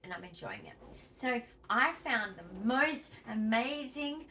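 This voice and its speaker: A woman's voice: fairly high pitch, expressive, measured pace, bright, forceful tone, recorded from a distance, a little hiss or hum. An Australian woman chatting casually to her viewers in a home vlog, emphatic and chatty, and a bit wheezy. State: wheezy, tight-chested. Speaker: vlogger.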